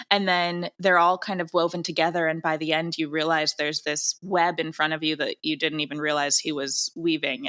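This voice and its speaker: A woman answering interview questions as an audio drama creator, talking in an enthusiastic, gushing and emphatic way. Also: fairly low pitch. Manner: gushing, emphatic, animated wording.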